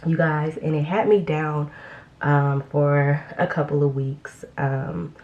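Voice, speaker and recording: Low-voiced woman chatting while doing makeup. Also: fairly even intonation, slow pace, a little mellow, lo-fi sound. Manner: chatty, rambling with frequent ums and restarts. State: slightly congested after illness. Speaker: beauty vlogger. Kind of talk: casual get-ready-with-me chat.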